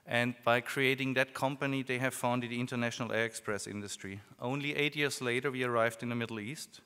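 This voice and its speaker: A man with a German accent giving a business presentation at a conference, speaking in a measured way with fillers. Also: mid-range voice, fairly even intonation, pushed and bright, smooth. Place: conference hall. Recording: reverberant hall, PA.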